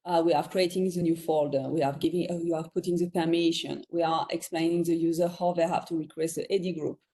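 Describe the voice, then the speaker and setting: A woman's voice: sits low, fairly even intonation, fast, mellow. A woman with a French accent, talking chattily as a guest on a virtual panel, often laughing between thoughts. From France. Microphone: video call.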